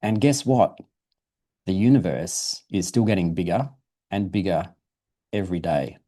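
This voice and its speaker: Low, mellow-voiced man reading to children. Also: measured pace. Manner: simple, explanatory storytelling for children. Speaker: guest presenter from a dinosaur museum. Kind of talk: reading a children's science book aloud.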